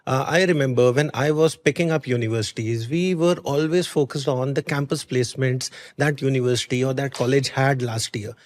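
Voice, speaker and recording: A man's voice: medium pitch, brisk, hardly any pauses. Indian man hosting a podcast interview. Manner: conversational, frequent fillers and restarts. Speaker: podcast host.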